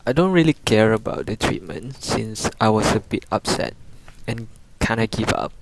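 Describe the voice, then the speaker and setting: A young man playing a despondent cancer patient in an amateur radio drama, halting and resigned. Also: on the low side, expressive, creaky, a little hiss or hum, rough recording.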